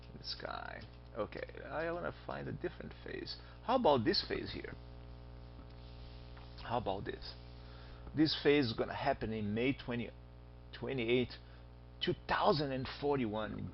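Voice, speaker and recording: A man's voice: lowish voice, gravelly. A man from Brazil with a Brazilian Portuguese accent, teaching astronomy in a chatty recorded lecture, quizzing his students and making joking asides. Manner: chatty, asks students questions, jokes aside. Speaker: astronomy instructor. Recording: dull lo-fi voice recording.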